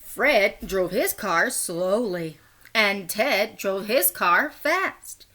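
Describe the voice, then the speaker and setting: A woman reading a bilingual children's picture book aloud as a teacher, in a deliberate, expressive way. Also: mid-range voice. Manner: deliberate, expressive, acts out short quotes; encouraging asides and questions to young listeners.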